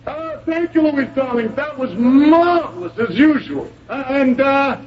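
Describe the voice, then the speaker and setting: A man playing a flattering, evasive theatre man in an old-time radio drama, high-voiced, gushing and hesitant, politely dismissive. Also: unhurried, crowd noise. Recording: noisy, muffled vintage radio recording.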